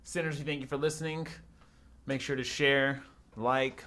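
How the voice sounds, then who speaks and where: Male podcast host, medium pitch, informal conversational delivery among co-hosts. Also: little pitch movement, moderate pace, fairly bright tone. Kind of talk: casual podcast chat.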